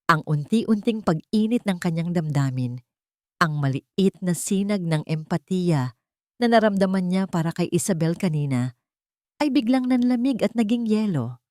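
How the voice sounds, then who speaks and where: A woman reading dramatic scripted story narration in a measured way, with short weighted phrases. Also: on the low side, animated, no room sound, clean studio sound.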